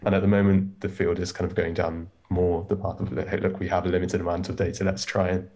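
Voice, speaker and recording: Low deep guy, ml engineer on a panel, casual, says like a lot. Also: flat delivery, fast, mellow. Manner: fluent but restarting, casual fillers like "like". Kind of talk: panel discussion on a video call.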